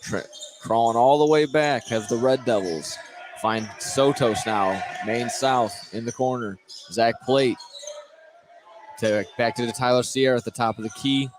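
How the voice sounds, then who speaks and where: A man's voice: mid-range voice, unhurried. An American man doing live radio play-by-play of a basketball game, sometimes stumbling over names and restarting phrases. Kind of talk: live radio sports commentary. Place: courtside in a high school basketball gym. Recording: clear gym noise behind the voice. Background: gym ambience, basketball bounces.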